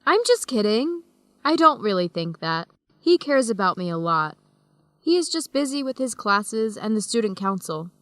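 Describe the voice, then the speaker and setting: A woman's voice: mid-range voice, big pitch swings, normal speed, a bit bright, no echo. An actress playing a friendly, easygoing young woman student traveler in a radio drama for English learners. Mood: lighthearted. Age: young adult.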